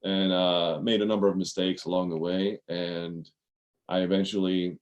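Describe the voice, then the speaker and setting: Earnest thirties male guest, deep-pitched, rambling storyteller. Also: little pitch movement, moderate pace. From Maryland, USA. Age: 30s. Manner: earnest, many fillers and restarts. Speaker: podcast guest. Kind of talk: casual interview storytelling.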